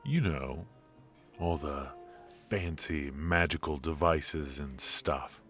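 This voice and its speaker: A man's voice: very deep voice, expressive, takes it slowly, fairly bright tone, faint music behind, heavily acted. An actor playing a charismatic, injured former mafia man in an ASMR roleplay, teasing and flirtatious while he admits to being stiff and worn out. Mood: confiding.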